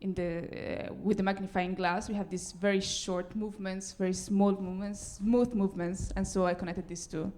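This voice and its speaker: Female composer, mid-range voice, giving a live lecture-recital talk in halting, piecemeal phrases. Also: medium pitch, fairly even intonation, darkish tone. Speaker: composer presenting own work.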